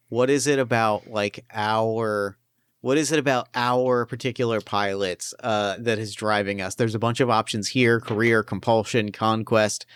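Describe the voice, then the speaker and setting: A man running a tabletop game as game master, thinking aloud and pitching story ideas with eager restarts. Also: a bit bright, clean tone, dry recording, clean studio sound. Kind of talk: collaborative planning for a tabletop game.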